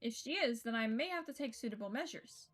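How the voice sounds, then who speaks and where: High-voiced woman reading a visual novel aloud. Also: expressive, fairly quick, fairly bright tone. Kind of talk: live read-aloud narration of a game. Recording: music under the voice. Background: game music.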